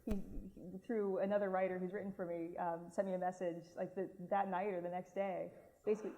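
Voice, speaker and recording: A woman speaks casually on a panel, working through her thoughts with fillers and restarts. Her voice sits lowish and mellow. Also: flat delivery, quick pace, lo-fi sound. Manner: casual, thinking aloud with fillers. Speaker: magazine science editor, panelist. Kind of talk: panel discussion.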